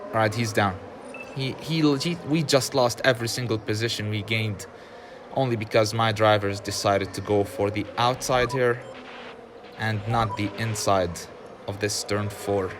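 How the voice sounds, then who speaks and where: A man whose first language is Arabic gives casual commentary while playing a racing game, chatty and often frustrated, laughing and filling pauses with "um". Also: fairly low pitch, steady tone, background noise. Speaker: gaming channel host.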